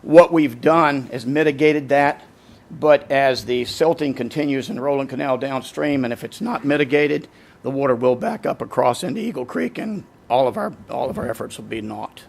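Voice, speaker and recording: A man speaking as a resident at a public hearing, earnest and halting, repeating words as he goes, his voice going hoarse. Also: mid-range voice. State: losing his voice. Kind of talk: public comment at a meeting.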